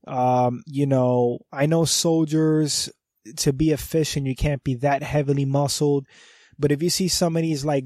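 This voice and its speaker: A man hosting a podcast, stuffy and nasal from a cold, talking in a loose, enthusiastic and profane way with frequent throat clearing. His voice is warm and mellow. The sound is dry and close, with no room around it. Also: mid-range voice, dry recording. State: congested from a cold. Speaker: podcast host. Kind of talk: casual review monologue.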